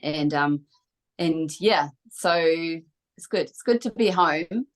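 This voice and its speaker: A middle-aged woman with a New Zealand accent and a distinctly low voice. She chats as an interview guest, in a reflective, open and conversational manner. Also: takes it slowly. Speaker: guest, kinesiologist.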